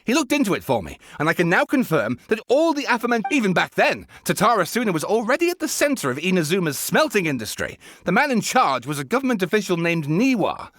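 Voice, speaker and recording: A man's voice: high voice, wildly swooping pitch, quick pace, hardly any pauses, a bit bright, no echo, full character voice. An actor playing an eccentric, showy male writer and researcher who talks in a theatrical, grandstanding way. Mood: eager. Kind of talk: video game voice acting. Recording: game cutscene with background music.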